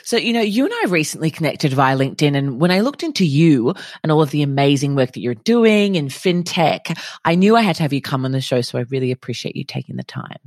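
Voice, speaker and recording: A woman's voice: low-pitched, lively intonation, fast, darkish tone, no echo, clean studio sound. A woman hosting a podcast, reading an intro and then interviewing with effusive enthusiasm. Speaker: podcast host.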